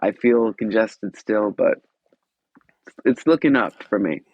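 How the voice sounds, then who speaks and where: A man with a stuffed-up, congested voice, chatting in a relaxed, easygoing way. His sound is bone-dry and a little dull, as if recorded on a modest remote mic. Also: on the low side, slow pace, breathy edge, dry recording. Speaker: podcast guest, musician. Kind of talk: casual chat.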